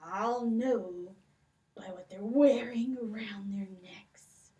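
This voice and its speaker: A greedy storybook giant acted out by a woman for a puppet show, hungry and gloating. He draws his words out very slowly and theatrically. The voice sounds a little way off from the microphone. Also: medium pitch, warm tone, distant mic, highs cut off, pitched below the performer's own voice, lightly acted. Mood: scheming. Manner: slow, gloating, drawn-out delivery. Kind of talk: children's puppet show folk tale.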